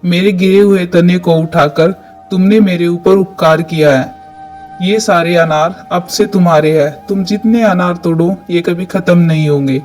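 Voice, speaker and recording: Magical talking tree played by a man: grateful, benevolent cartoon character, high male pitch, music bed. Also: steady tone, moderate pace, a little mellow, lightly acted. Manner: benevolent, declaring. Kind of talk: cartoon dialogue. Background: background music.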